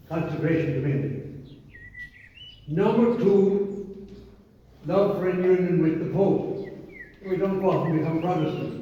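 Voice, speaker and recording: A man's voice: a little high, slight background noise. An older man with an Irish accent giving an informal talk to a group as a priest, telling stories with asides. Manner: conversational, storytelling with asides and quotes. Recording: echoey hall, distant mic, dull sound.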